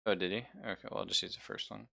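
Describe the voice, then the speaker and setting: A man's voice: sits low, level tone, rapid-fire, creaky, bright, forceful tone, dry recording, clean studio sound. A man acting as game master, casually explaining rules and narrating the action of a tabletop role-playing game. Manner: explains rules conversationally, describes gory action.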